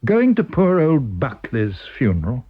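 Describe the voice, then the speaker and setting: Male narrator as wry journalist, gossiping, colloquial, offhand, lively pitch, radio storybook. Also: a little slow, slightly rough, narrow, tinny audio, exaggerated voice. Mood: wry regret.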